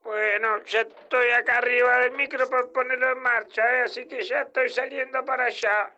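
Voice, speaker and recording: A man's voice: very high voice, steady tone, moderate pace, bright, forceful tone, muffled recording, soft background music, exaggerated voice. A man playing a fussy, grumbling Argentine bus driver leaving repetitive voice messages in a comic radio play. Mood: busy. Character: grumbling, rule-bound old-time bus driver.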